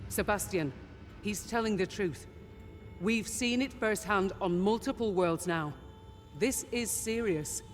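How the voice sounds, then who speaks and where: An actress playing a businesslike, composed mercenary ship officer in a video game. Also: female voice, mid-range voice, a little slow, soft background music, lightly acted. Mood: composed, serious. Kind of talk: cinematic game voice acting.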